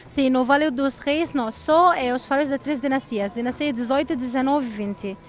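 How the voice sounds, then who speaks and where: Egyptian woman guiding in second-language portuguese. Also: high voice. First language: Arabic. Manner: halting non-native phrasing, explanatory. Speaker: tour guide. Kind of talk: guided explanation in learned Portuguese. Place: outdoors at an ancient temple.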